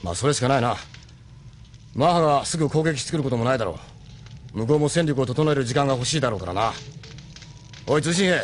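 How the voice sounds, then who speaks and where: A man playing a gruff, steadfast ship captain and mentor in a Japanese audio drama, speaking bluntly and urgently. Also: mid-range voice, lively intonation, fast talker, pauses often, a little hiss or hum, natural voice. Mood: gruff, irritated.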